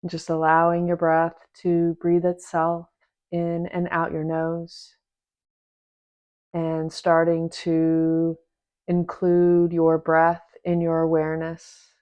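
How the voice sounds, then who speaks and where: A woman teaching a guided meditation in a gentle, unhurried manner, leaving long pauses between short phrases. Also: sits low, completely flat delivery. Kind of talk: guided meditation, soft instruction.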